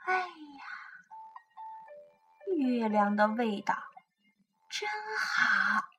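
Animated high-voiced woman telling children's stories. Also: drawn-out words, gravelly, noisy background. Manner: expressive, coaxing, addresses young listeners with questions. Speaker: children's storytelling show host. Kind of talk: animated picture-book bedtime storytelling. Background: music.

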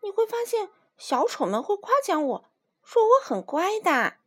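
A woman voicing a mischievous little circus girl who pleads in a high, bouncy voice. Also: very animated, normal speed, fairly bright tone, full character voice. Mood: pleading.

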